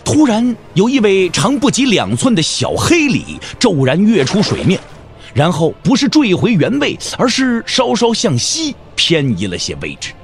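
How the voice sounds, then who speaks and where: A man's voice: high-pitched, huge pitch swings, normal speed, faint music behind. A man narrating a wuxia audio drama in a dramatic, scripted storytelling style.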